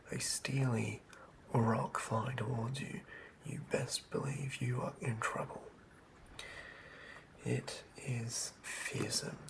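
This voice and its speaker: A seasoned tavern bard and former adventurer, acted out by an ASMR performer, whispers ominous tales. The delivery is very slow and theatrical, breathy and full of creak, in the manner of fantasy roleplay. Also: mid-range voice, low-quality recording. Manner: slow, theatrical, old-fashioned tale-telling.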